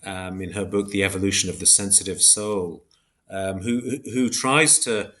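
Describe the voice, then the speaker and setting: Low-voiced man, hesitant reflective academic. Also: fairly even intonation, moderate pace, a bit bright. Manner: hesitant, self-correcting, reflective academic explaining. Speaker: interview guest, academic philosopher. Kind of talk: thoughtful interview discussion.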